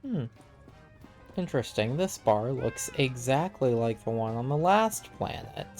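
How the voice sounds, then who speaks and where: A man's voice: medium pitch, big pitch swings, unhurried, darkish tone, faint music behind. A man playing a game with a friend, chatting in a dry, laid-back way. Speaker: co-streamer. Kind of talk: casual gameplay chat.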